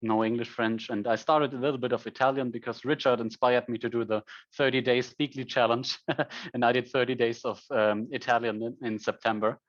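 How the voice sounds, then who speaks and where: German-accented man in his twenties, mid pitch, conference speaker, lots of ums, muffled lo-fi sound. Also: steady tone, fairly quick. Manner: frequent ums, self-corrections. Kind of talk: conference presentation.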